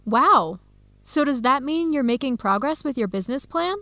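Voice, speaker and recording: A woman playing a cheerful, adventurous young American in a scripted radio drama, speaking clearly and brightly with an American accent. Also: high-pitched, lively intonation, normal speed, no room sound. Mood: friendly, upbeat. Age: young adult.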